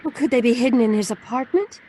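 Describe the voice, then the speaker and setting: A woman's voice: on the high side, fast talker, dark tone, a little hiss or hum, lightly acted. An actress playing a mysterious, wistful married woman in a noir radio drama, speaking in an earnest, restrained way. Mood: guarded, urgent. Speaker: actor in an audio drama.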